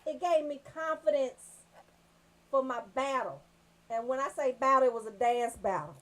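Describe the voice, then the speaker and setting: A woman with a Southern US accent telling comedic stories as a radio host, brash, animated and freely swearing. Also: high-pitched, moderate pace. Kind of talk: comedic storytelling on a casual talk show.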